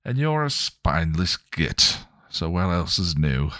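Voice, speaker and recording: A man voicing a coarse, sneering male vampire demon who talks in cocky, rough slang. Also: low voice, very animated, unhurried, bright, forceful tone, dry recording, studio quality, exaggerated voice.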